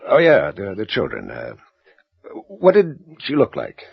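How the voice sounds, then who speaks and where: Low, husky country sergeant, halting and folksy, haunted by an old case; horror audio drama, muffled sound. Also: male voice, wildly swooping pitch, no room sound, heavily acted. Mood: skeptical, placating.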